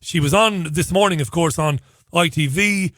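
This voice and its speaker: Hoarse male talk host, dry close mic, chatty and wry, cuts himself off mid-thought. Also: a little high, moderate pace, fairly bright tone, dry recording. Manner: chatty, wry asides, self-interrupting. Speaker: radio show host. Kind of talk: casual talk radio monologue.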